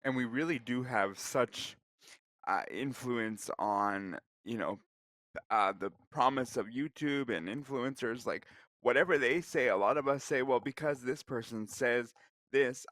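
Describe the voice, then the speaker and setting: A man's voice: medium pitch, measured pace, fairly bright tone, no room sound. A man chatting casually in a talk show discussion.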